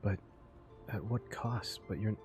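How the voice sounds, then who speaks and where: A man giving casual, spontaneous reaction commentary as he plays a story-driven game, in an expressive way. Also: fairly low pitch, a little slow. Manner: expressive, exclamatory. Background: game music.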